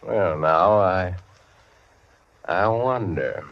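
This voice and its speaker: A man playing a hesitant, contrary club gentleman, speaking deliberately in 1940s radio acting. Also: sits low, exaggerated voice. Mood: musing. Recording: muffled 1940s radio recording.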